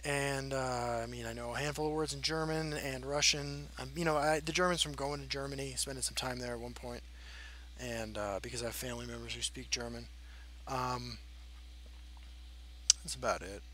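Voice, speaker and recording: Man in his thirties, casual host, bright tone, faint hum behind. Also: mid-range voice, fairly even intonation, moderate pace. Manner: rambling, frank, many fillers. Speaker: channel host. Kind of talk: casual Q&A chat.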